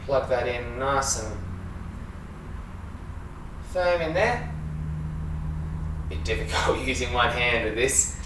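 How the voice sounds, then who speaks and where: A man with an Australian accent giving a casual, halting hands-on demonstration as a sound engineer while he works. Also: mid-range voice, expressive. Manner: informal, halting, talking while working with hands. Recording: echoey room, distant mic, faint noise.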